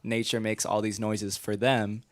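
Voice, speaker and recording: A man's voice: lowish voice, little pitch movement, measured pace. A man speaking informally as a participant in a discussion, explaining his reading of something. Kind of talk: informal spoken interpretation. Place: live group event.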